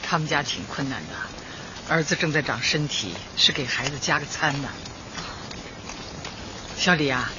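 A woman's voice: low-pitched, moderate pace, long pauses, lo-fi sound, noisy background, natural voice. An actress playing an elderly, kindly community Party secretary in a radio drama, hearty, motherly and decisive. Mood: gentle concern.

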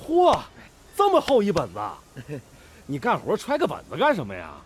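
A man acting a friendly, excitable young factory workmate in a radio drama, chummy and exclamatory. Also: high-pitched, normal speed, slight background noise. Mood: admiring. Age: young adult.

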